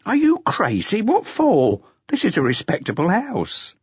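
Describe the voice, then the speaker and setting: Man doing a high brisk waitress voice, motherly, chiding, husky edge, dull sound. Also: wildly swooping pitch, no echo, heavily acted. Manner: brisk, fending off, chatty. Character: buxom motherly waitress, woman about thirty-five.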